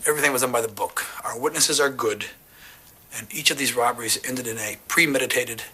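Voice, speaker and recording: A man's voice: medium pitch, a little fast, pushed and bright, a little hiss or hum, lightly acted, rough recording. An actor playing a firm male police lieutenant who speaks formally and emphatically in a courtroom drama.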